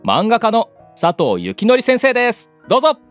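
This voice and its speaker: A man hosting a casual talk show with a very lively, swinging pitch. He playfully stretches out introductions like an announcer, with music playing behind him. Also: moderate pace. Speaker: co-host of a voice actors' channel. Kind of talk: lively scripted-then-chatty hosting with a guest.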